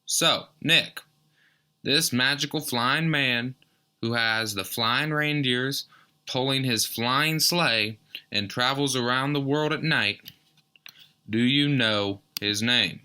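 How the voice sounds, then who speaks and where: A man's voice: mid-range voice, slow, pushed and bright, smooth. A man playing a self-assured, skeptical talk show host in a radio drama. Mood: smooth hosting. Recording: faint background noise.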